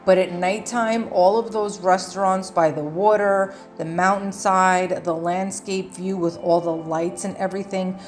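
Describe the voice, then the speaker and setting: A woman's voice: medium pitch, fairly even intonation, unhurried, hardly any pauses. A woman travel vlogger narrating a casual guide in a chatty, rambling, earnest way. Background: background music.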